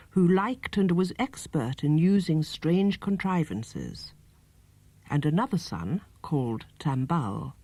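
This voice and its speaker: Mellow man reading a storybook, very dry sound. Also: fairly high pitch, no echo. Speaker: audiobook reader. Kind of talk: scripted storybook narration.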